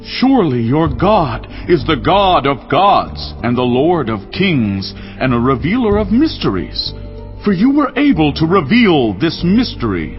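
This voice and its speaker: A man's voice: on the high side, wildly swooping pitch, a little slow. An actor playing a mighty, awestruck ancient king with grand, exclamatory delivery in a scripture drama. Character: King Nebuchadnezzar, mighty awestruck Babylonian monarch. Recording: dull band-limited audio, faint music.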